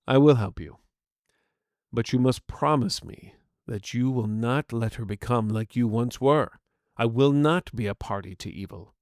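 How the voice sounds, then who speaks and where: A man's voice: medium pitch, unhurried, a little mellow, no room sound, studio quality, natural voice. A man voicing an aging heroic swordsman in a fantasy story, earnest, righteous and wary. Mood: reluctant, firm. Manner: earnest, emphatic, moralizing.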